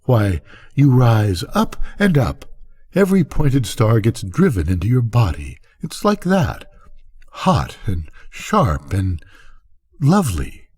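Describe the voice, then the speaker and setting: Older man voicing an eager, intense farm woman, swooping pitch. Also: huge pitch swings, slow, darkish tone, slightly rough, heavily acted. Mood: passionate, intense. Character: eager, intense farm woman, passionate about her flowers. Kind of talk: storybook character reading.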